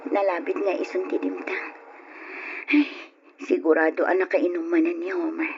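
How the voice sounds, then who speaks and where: An actress playing a patient, dutiful young pregnant wife in an old radio drama. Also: female voice, fairly low pitch, steady tone, normal speed, creaky, background noise. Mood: sleepy, mildly reproachful. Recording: dull, lo-fi radio drama recording.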